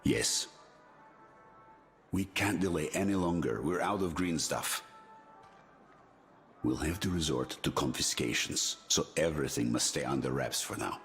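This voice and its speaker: An actor playing a middle-aged military commander in a game drama, chummy and persuasive but ruthless and used to giving orders. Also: male voice, extremely low pitch, unhurried, pauses often, fairly bright tone, faint music behind, exaggerated voice. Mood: secretive, commanding. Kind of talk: dramatic video game cutscene acting.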